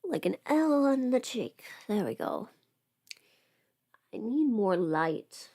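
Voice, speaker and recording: A woman's voice: a little high, sing-song, slow, warm tone, no echo. A woman casually chatting on a livestream while doing her makeup, playful and absent-minded, muttering to herself in a close-miked voice. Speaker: livestream host, voice performer.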